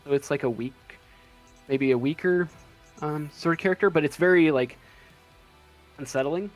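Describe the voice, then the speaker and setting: A man with a slightly high voice narrates a tabletop game as game master. He improvises haltingly, searching for words, with faint music behind him.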